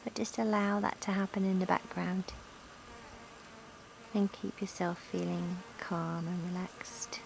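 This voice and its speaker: A woman leading a guided yoga nidra relaxation in a calm, gentle, soothing manner with long pauses, recorded outdoors in a garden. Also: on the low side, vocal fry. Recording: outdoor recording with insect and nature noise. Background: insects, sounds of a sunny garden.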